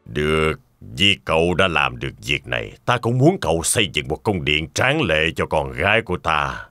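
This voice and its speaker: A man's voice: extremely low pitch, sing-song, normal speed, pushed and bright, dry recording, clean studio sound, faint music behind, full character voice. A man playing a stern, demanding old king in a children's cartoon dub, speaking in a formal, commanding manner.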